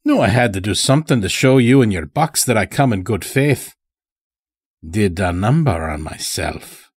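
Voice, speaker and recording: A man's voice: lowish voice, animated, moderate pace, husky, no echo. A man voicing a sly, weary old stranger in an audio story, with a folksy, rambling way of talking. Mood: wry, easygoing. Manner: folksy, rambling, dropped words.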